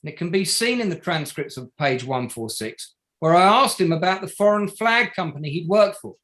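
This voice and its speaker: An actor playing an indignant barrister in a play reading, making formal submissions to an inquiry in a deliberate, emphatic way with pauses between short phrases. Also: male voice, fairly high pitch, lively intonation, fairly bright tone. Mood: grave, accusing. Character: indignant male barrister addressing a public inquiry. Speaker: actor in an online table read.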